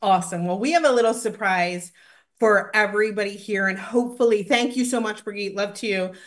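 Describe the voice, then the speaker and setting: A woman in her thirties hosting a webinar from home over a video call, presenting a product with excited, gushing talk and many fillers. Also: mid-range voice. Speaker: webinar host. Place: home room, indoors. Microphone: video call webcam.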